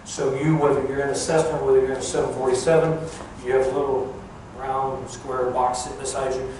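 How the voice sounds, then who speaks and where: An older man gives an informal talk in a big, very echoey room, picked up from far off with clear background noise. His delivery is plain-spoken and halting, at a middling pitch. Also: level tone. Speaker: guest speaker, former air traffic controller. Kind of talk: informal public talk recounting career. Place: large echoey museum room.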